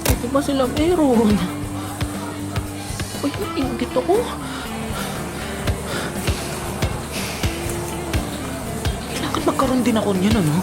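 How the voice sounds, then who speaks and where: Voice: high voice, animated, moderate pace. An actor in a Filipino radio drama playing a sly, gleeful, scheming child who giggles and stammers when cornered. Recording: music bed behind the voice.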